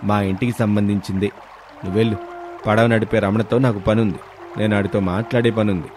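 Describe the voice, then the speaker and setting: A man's voice: lowish voice, fairly even intonation, fairly quick, background noise, lightly acted. A man playing a brooding, evasive younger son of a rich villager in a storybook tale.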